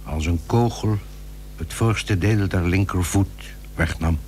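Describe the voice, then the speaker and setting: A man narrating an old radio drama from a script in a formal, grave, measured manner. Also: deep voice, darkish tone. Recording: old radio recording with faint hum.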